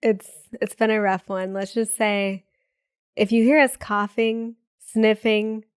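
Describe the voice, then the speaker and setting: A young woman co-hosting a podcast in casual chat, bubbly and quick with asides, laughing often, sounding a bit sick with a cold. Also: mid-range voice, level tone, clear, resonant tone, no echo, studio quality. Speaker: podcast co-host.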